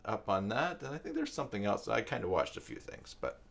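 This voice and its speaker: An older man talks casually as a live stream host. His voice sits on the low side. He rambles reflectively, pausing to find his words. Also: huge pitch swings, creaky, a bit bright. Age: older, with grandchildren. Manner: rambling, reflective, hesitant pauses.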